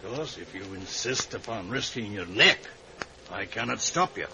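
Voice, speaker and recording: Gravelly, sly Scottish agent. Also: male voice, lowish voice, lively intonation, moderate pace, heavy background noise. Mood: sly coaxing. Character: shrewd, sly older male Scottish secret service man. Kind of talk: 1950s radio drama acting.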